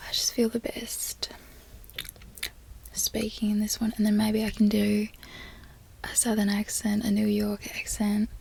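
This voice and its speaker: Whispering young woman, soft and gravelly. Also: medium pitch, completely flat delivery, unhurried, pauses often, poor audio. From Florida. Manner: soft whispering, rambling and chatty. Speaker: ASMR creator. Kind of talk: whispered ASMR chat.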